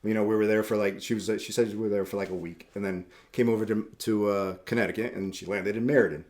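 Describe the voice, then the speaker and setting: Middle-aged male guest, low-pitched, relaxed rambling storyteller who circles back on his words. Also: fast. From Puerto Rico. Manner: easygoing, rambling, repeats words, laughs often. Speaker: tattoo shop owner, guest. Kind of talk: casual interview chat.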